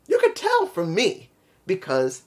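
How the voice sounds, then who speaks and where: A man's voice: sits high, wildly swooping pitch, unhurried. Man, New York accent, casual solo reviewer, blunt, rambling, repeats words. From New York City. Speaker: wrestling show reviewer.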